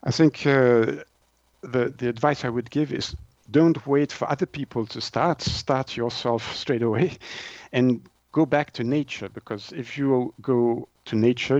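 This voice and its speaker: A man's voice: medium pitch, a little mellow, dry recording. A man with a French-Swiss accent, a native French speaker, explaining things in an interview and searching for words as he goes. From Switzerland. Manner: halting, searching for words in non-native English. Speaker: interview guest, naturopath and author. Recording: dull lo-fi audio.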